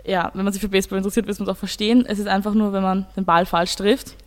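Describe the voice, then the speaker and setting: A woman's voice: mid-range voice, flat delivery, fast, long reverb tail. A teenage girl, casually arguing her opinion as a student guest in a radio discussion.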